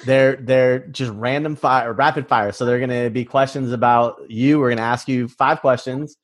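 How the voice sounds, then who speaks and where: A man's voice: medium pitch, steady tone, moderate pace. A man hosting an interview in a casual, informal style, explaining and posing questions. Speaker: interview host. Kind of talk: casual hosting with sponsor read.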